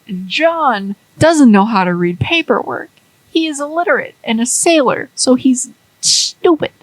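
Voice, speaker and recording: A woman's voice: lively intonation, a little slow, dry recording, low-quality recording. A woman doing a smug, gloating schemer. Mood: indignant. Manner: loud, taunting, high-pitched.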